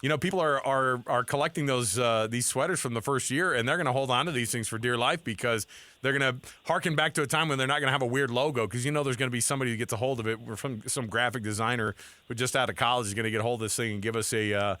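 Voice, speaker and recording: A man's voice: mid-range voice, quick pace, bright, forceful tone, dry recording. A man hosting sports talk radio, chatting casually in a rambling, colloquial way.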